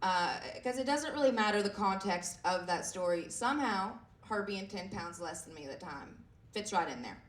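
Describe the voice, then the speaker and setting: A woman in her twenties with a raspy voice, performing a self-deprecating stand-up set with lots of fillers and halting restarts. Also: medium pitch, animated. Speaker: stand-up comedian. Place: comedy club stage. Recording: echoey room heard from a distance.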